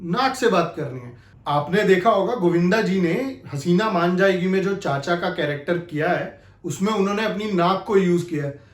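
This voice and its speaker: Guy teaching acting stuff, pretty high voice, explains it right at you, roomy echo. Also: expressive, moderate pace. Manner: explanatory, addresses the viewer directly. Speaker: acting coach and presenter. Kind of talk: instructional video talk with spoken demonstrations. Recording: echoey, reverberant room.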